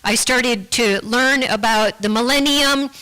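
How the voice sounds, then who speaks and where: A woman giving a personal testimony in church, earnest and halting, often restarting her words. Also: fairly high pitch, pushed and bright, poor audio. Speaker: guest speaker giving a testimony.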